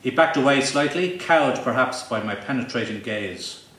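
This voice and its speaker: A man giving a live comic reading from his novel in a pompous, deadpan, mock-grandiose manner. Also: medium pitch, moderate pace. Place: live event venue. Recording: roomy, distant live recording with faint noise.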